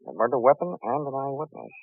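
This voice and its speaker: An American actor playing a brash, wisecracking, flirtatious insurance investigator in a wry, punchy 1940s radio detective style. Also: male voice, mid-range voice, big pitch swings, fast talker, vocal fry, slightly rough, dry recording, exaggerated voice. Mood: wry, brisk. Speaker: lead actor and narrator. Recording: tinny 1940s radio recording.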